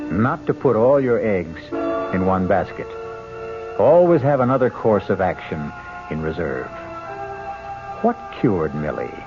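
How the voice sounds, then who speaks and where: Male radio host, lowish voice, lively swooping delivery, deliberate scripted narration, music behind, muffled old broadcast. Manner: deliberate, teasing rhetorical questions.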